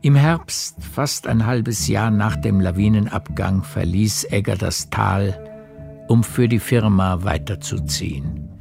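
A man narrates a literary radio drama with measured storytelling. His voice is low with a gravelly creak, and soft music sits faintly behind it.

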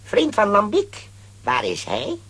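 Slow-scheming high-voiced male villain. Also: huge pitch swings, a bit bright, breathy edge, dry recording, full character voice. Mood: sly, flattering. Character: scheming greedy male villain, smooth-talking and gold-hungry. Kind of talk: children's audio play acting.

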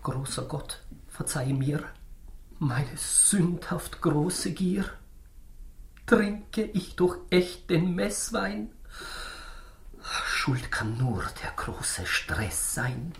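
A grumbling, tippling parish priest, played by a woman, mutters a guilty confession. The delivery is slow and theatrical, in the rhymed verse of a radio play. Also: sits low, sing-song, heavily acted. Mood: contrite. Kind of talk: rhymed radio drama monologue.

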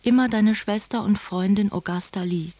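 An actress playing an affectionate, self-deprecating sister, reading a letter in a radio drama. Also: female voice, mid-range voice, normal speed, mellow, dry recording, close to an everyday voice.

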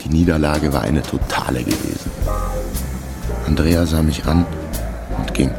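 Laconic fixer telling his case, super low creaky voice, music behind it. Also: a little slow, exaggerated voice. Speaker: narrator and protagonist. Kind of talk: first-person radio crime drama narration.

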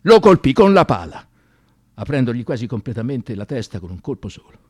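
Guy narrating a radio play, intense and theatrical, pitch all over the place, super dry studio sound. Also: big pitch swings, slightly rough, no room sound. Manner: intense, emphatic, with deliberate dramatic pauses. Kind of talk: dramatic scripted storytelling, theatrical radio narration.

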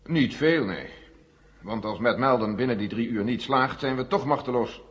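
A long hall echo and muffled old tape carry a man acting a general of middling pitch. He is sober, frank and authoritative, speaking formally and with measure, as in a 1970 radio play. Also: lively intonation, normal speed, close to an everyday voice. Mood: grave, resigned. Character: frank, sober American general leading a space agency. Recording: dull 1970 radio recording, echoing hall.

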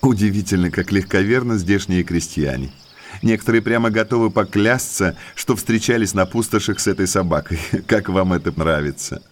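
A man's voice: low-pitched, normal speed. An actor playing an affable, inquisitive country naturalist in his thirties in an audio drama, courteous, talkative and slyly probing. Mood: probing, amused. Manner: courteous, talkative, insinuatingly polite.

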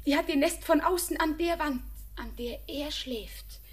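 An actress playing an anxious, lovestruck young daughter in a poetic radio drama, with a fervent, halting delivery. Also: female voice, sits high. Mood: anxious, confiding.

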